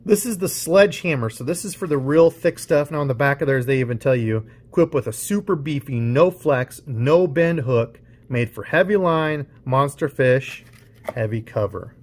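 A man's voice: mid-range voice, lively intonation, moderate pace, a little mellow. A man hosting his own fishing channel, chatting casually as he unboxes and compares lures. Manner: chatty, explains gear while handling it. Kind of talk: casual unboxing show-and-tell.

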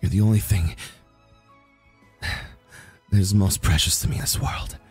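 A very breathy, husky young warrior, acted by a man for romantic ASMR, brooding yet secretly tender. He speaks slowly and haltingly on the lower side, stammering and sighing close to the mic. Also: faint music behind. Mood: tender, pleading. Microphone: close microphone.

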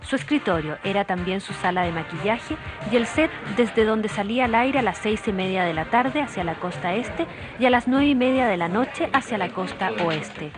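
A woman's voice: mid-range voice, expressive, normal speed, hardly any pauses. Brief: female TV narrator, polished, music bed under voice. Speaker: TV reporter narrator. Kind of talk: scripted TV feature narration.

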